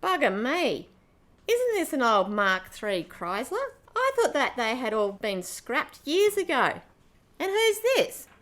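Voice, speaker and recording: A woman acts a roguish space pirate queen from a radio play. She is brash and brassy, speaks casually in a fairly high voice and swears freely. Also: big pitch swings, slow pace, fairly bright tone, heavily acted. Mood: surprised. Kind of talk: amateur audio drama acting.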